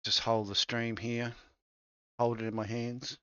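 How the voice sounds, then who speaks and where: A man's voice: medium pitch, flat delivery, measured pace, pushed and bright, clear, resonant tone, highs cut off. A man co-hosting a casual, absurd chat show, joking dryly with mock-dramatic asides. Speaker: co-host. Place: home setup, streamed show.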